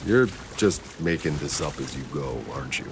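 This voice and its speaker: A man playing a gruff, sardonic mercenary leader in a video game, terse and skeptical. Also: lively intonation, moderate pace, very noisy. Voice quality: deep, gravelly. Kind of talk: video game cutscene acting.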